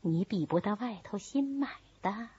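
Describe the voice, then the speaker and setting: A woman reading a novel voices an older, scheming wife of a wealthy master, speaking in a soft, coaxing manner. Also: mid-range voice, lively intonation, moderate pace, husky, no echo, highs cut off. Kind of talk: storybook character reading.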